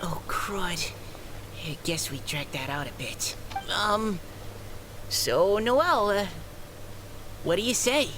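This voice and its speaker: A woman's voice: medium pitch, lively intonation, slow pace, pauses often, noisy background. A woman voicing a shy, self-doubting young boy knight in a video game, earnest, stammering and hesitant. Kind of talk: animated video game voice acting. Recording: game dialogue over clear background music.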